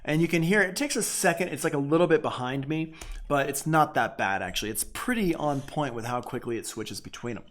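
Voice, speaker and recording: A man's voice: on the high side, a little fast. Casual man teaching, thinking aloud. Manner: informal, conversational, thinking aloud. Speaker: music production teacher. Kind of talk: casual software tutorial.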